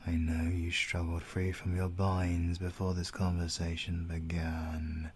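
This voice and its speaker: A British man playing a menacing supernatural interrogator in an ASMR audio roleplay, coldly polite and deliberate, with a breathy, gravelly, often whispered voice. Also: low-pitched, completely flat delivery, a little hiss or hum. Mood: taunting. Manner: slow, deliberate, mockingly courteous. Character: menacing supernatural male villain, clawed soul-taking interrogator, coldly polite.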